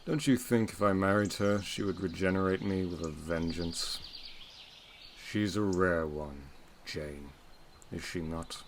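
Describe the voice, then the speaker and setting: An actor playing a brooding, commanding master of a gothic manor in a period audio drama, intense and imperious in manner. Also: male voice, low voice, a little slow, a little hiss or hum. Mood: fervent, brooding.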